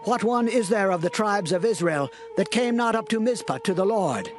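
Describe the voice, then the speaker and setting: A stern, agitated ancient Israelite man in a scripture drama. His voice is pitched very high for a man, with faint music underneath. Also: expressive, normal speed. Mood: urgent questioning.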